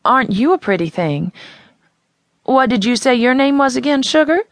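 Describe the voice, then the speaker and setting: A woman's voice: high voice, lively intonation, normal speed, no echo, clean studio sound, voice pushed up. A woman reading a story voices a frail, sad small-town woman who speaks in a sugary, doting way. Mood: surprised, doting.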